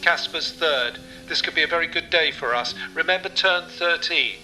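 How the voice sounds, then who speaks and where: An actor playing a calm male race engineer on team radio, giving clipped, businesslike calls. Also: a little high, moderate pace, faint music behind, low-quality recording.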